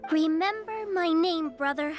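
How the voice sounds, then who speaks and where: Timid, very high-pitched little girl. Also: measured pace, over music. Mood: pleading, urgent. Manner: hesitant, pleading. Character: small, timid, frail little girl in a fantasy game.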